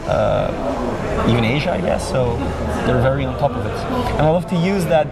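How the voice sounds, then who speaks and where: Man interviewing over loud crowd noise. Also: medium pitch, lively intonation, normal speed, gravelly, distant mic. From Belgium. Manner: thoughtful, rambling with restarts. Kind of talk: conference interview. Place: busy conference venue.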